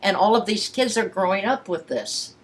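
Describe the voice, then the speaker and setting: A woman's voice: medium pitch, normal speed. A woman in her eighties talking casually and frankly, with a blunt, playful, emphatic way of putting things. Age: 80s. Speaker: sex educator giving private sessions.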